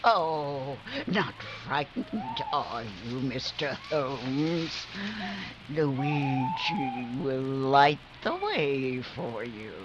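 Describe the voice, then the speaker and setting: A woman's voice: rumbling low, big pitch swings, heavily acted. A woman playing a vengeful, taunting widow in melodramatic 1940s radio style. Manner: slow, theatrical, taunting. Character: menacing, gloating vengeful widow. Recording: dull, clearly noisy live recording.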